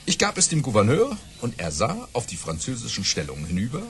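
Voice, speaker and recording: An actor playing a boastful baron who tells tall tales with theatrical relish in a German audio drama. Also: male voice, mid-range voice, huge pitch swings, a little fast, slight background noise. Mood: animated, proud.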